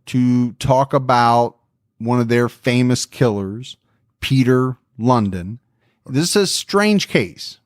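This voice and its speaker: A man hosting a true crime podcast, telling the story in a relaxed, friendly way and chatting with his co-host. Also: mid-range voice, slow pace, no room sound, clean studio sound.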